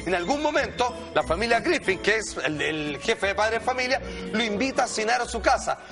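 A man's voice: sits high, big pitch swings, brisk, vocal fry, over music. A man with a Chilean accent presenting a talk show, telling stories and commenting in a theatrical, slangy way and often calling for attention. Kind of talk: animated storytelling and commentary on cartoons.

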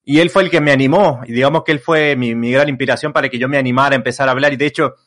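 A young man with an Argentine Spanish accent, chatting casually as an interview guest. He rambles, stacks fillers and restarts his sentences often, at an ordinary mid pitch for a man. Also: fairly even intonation, racing, fairly bright tone. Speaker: content creator guest.

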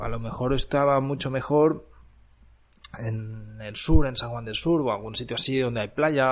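A man in his thirties from Barcelona, hosting a podcast and reflecting on his life abroad in an unhurried monologue full of fillers. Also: fairly low pitch, vocal fry. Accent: Barcelona Spanish. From Catalonia, Spain. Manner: reflective, frequent fillers and restarts. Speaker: podcast host. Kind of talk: personal storytelling monologue. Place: Granada, Nicaragua.

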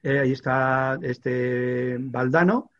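An older man from Extremadura, a veteran sports reporter, telling an animated story in a studio chat with many fillers and restarts. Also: medium pitch, slow pace, dull audio. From Extremadura, Spain.

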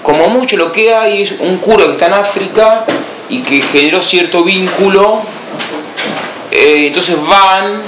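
A man's voice: high-pitched, unhurried, reverberant, lo-fi sound, noisy background. A missionary priest from Buenos Aires with an Argentine accent, giving an informal talk to a small group and answering his own rhetorical questions with a firm no. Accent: Argentine Spanish, Buenos Aires.

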